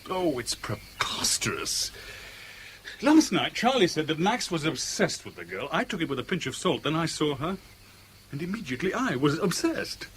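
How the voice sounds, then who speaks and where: Man in his forties, highish voice, intense, self-pitying, faint hiss. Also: very animated, normal speed, a bit bright. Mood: wounded, bitter. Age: 40s. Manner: intense, wry, self-mocking. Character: lovesick, self-pitying forty-year-old English businessman. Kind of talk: 1970s British radio drama acting. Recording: faintly hissy vintage radio drama recording.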